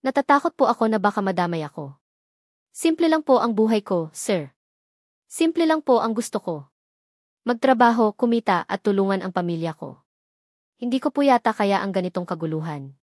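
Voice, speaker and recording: A woman's voice: mid-range voice, big pitch swings, moderate pace, pauses often, dry recording. Woman narrator as young housemaid, Filipino accent, humble, anxious, deferential, audio storybook, dry recording. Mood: fearful, pleading. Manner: deferential, polite.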